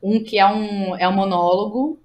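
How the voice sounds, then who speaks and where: A woman's voice: medium pitch, steady tone, normal speed, a little mellow. A woman with a Brazilian Portuguese accent talking casually about her own work as an interview guest, informal and slangy, thinking aloud as she goes. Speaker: guest, playwright.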